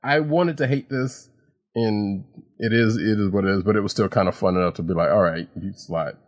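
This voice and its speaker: A man co-hosting a comic book podcast, talking casually and haltingly with many restarts. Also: fairly low pitch. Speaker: podcast co-host.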